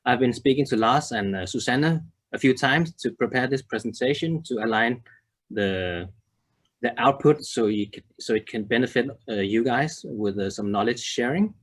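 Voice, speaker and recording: A man in his thirties from Denmark giving a presentation, halting often with fillers and restarts. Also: mid-range voice, expressive. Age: 30s. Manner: hesitant, many fillers and restarts. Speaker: conference speaker. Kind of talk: presentation to a web audience.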